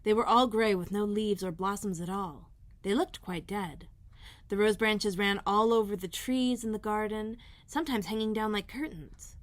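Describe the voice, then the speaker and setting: Female read-aloud host for children's stories, middle register, measured and expressive storytelling. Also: medium pitch. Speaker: elementary school librarian, host.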